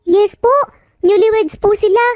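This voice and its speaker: A cheeky, playful little boy played by a woman narrator in a romance storybook. The voice is very high, chirpy and childlike, with polite manners and a dry sound. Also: steady tone, moderate pace, dark tone, no echo. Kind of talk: Tagalog romance story character voice.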